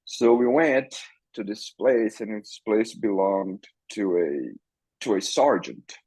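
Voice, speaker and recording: A man from Brazil with a Brazilian Portuguese accent, a podcast guest telling his story in a relaxed, easygoing way. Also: fairly low pitch, takes it slowly, slightly rough, dry recording. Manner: relaxed, chuckles often, repeats words as he thinks. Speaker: farrier school director, guest. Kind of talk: casual interview storytelling.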